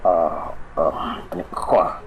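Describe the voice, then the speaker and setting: A man's voice: low voice, steady tone, takes it slowly, slight background noise, poor audio. A man acting a domineering, wealthy older godfather and mansion master in an Ilocano radio drama, authoritative, with a Filipino accent. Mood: evasive.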